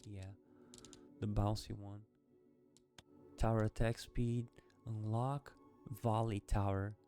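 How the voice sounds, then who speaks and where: A man's voice: lowish voice, level tone, slow, music in the background. A man with a Brazilian accent, a native Portuguese speaker, giving casual, rambling, self-mocking commentary while playing a game and swearing freely. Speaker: gaming video creator.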